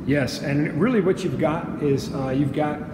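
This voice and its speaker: A man's voice: on the high side, unhurried. Man, product rep, chatty wry delivery, casual walk-through, reverberant hall sound, noisy background. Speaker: audio company representative giving a product tour. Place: small hard-walled demo room with high ceiling, indoors. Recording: echoey reverberant room with noisy ambience.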